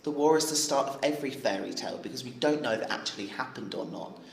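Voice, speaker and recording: A man's voice: mid-range voice, expressive, quick pace, gravelly. Eager young man, roomy echo. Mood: storytelling. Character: eager, excitable young man who believes in fairy tales. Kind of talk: audio drama acting.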